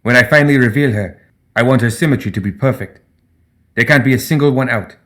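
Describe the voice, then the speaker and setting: A man's voice: mid-range voice, quick pace, fairly bright tone, lightly acted. Man playing an exacting elderly professor giving orders. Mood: demanding. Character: elderly male scientist, exacting, demanding, vigorous professor. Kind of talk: dramatized audiobook character voice.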